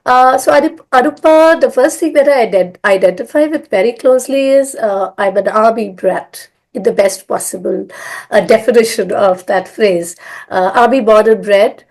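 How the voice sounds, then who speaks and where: A woman's voice: on the high side, moderate pace, darkish tone. A middle-aged woman from India, telling her life story in a rambling, filler-filled way. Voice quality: hoarse. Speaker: guest, language school founder. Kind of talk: conversational storytelling.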